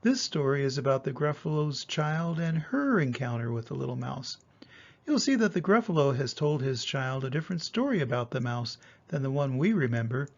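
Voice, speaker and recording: A man's voice: medium pitch, very animated, normal speed, dull audio. An older man, a grandfather, reading a children's story aloud expressively.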